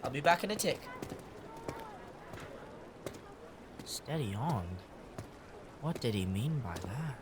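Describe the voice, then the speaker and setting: A man's voice: medium pitch, sing-song, normal speed, noisy background, lightly acted. An actor playing an earnest, driven street boy in an audio drama, speaking with urgent insistence. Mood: subdued. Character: Wiggins, earnest, driven street boy leading young irregulars.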